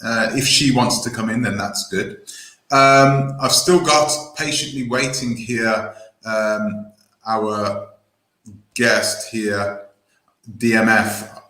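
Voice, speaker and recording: A man's voice: lowish voice, steady tone, takes it slowly, pushed and bright. Hesitant man hosting, roomy echo. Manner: hesitant, lots of "um" and restarts. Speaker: live stream host. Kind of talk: hosting a live call-in stream.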